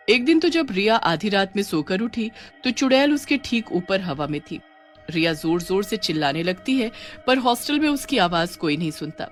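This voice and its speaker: A woman narrating a scripted cartoon horror story. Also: on the low side. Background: music.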